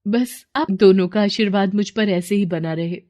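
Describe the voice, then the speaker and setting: A woman's voice: medium pitch, normal speed, dark tone, close to an everyday voice. A woman voicing a humble, kind, dutiful young daughter-in-law in a moral story. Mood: gentle, forgiving.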